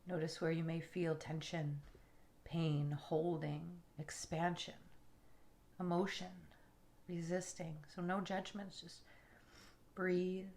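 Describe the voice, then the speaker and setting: A woman leading a guided relaxation meditation in a soothing, unhurried way, with soft, breathy phrase endings. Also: on the low side, little pitch movement. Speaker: yoga nidra meditation guide.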